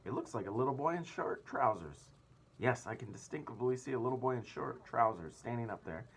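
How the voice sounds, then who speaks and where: Man acting a blustering, astonished ship's captain, pitched up. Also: expressive, fairly quick, slightly rough. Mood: flabbergasted. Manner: blustering, stammering in disbelief. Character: agitated, astonished male ship's captain peering through telescope.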